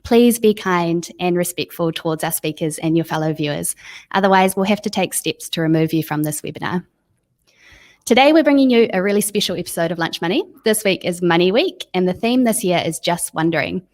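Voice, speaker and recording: Lower-pitched New woman hosting. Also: animated, a little fast, darkish tone. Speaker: webinar host. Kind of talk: scripted introduction and hosting.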